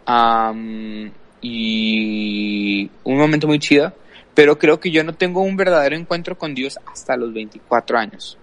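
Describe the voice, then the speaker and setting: Man, middle-pitched, casual storyteller, pauses to think aloud, conversational. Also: a bit bright. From El Paso, Texas, USA. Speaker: podcast guest, worship leader.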